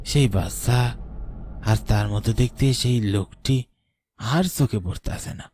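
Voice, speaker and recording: A man's voice: on the low side, measured pace, darkish tone, slight background noise, lightly acted. A man playing a troubled, guilt-ridden village labourer in a horror cartoon, anxious and pleading, with a rural Bangladeshi Bengali accent. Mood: uneasy. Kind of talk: animated horror story voice acting.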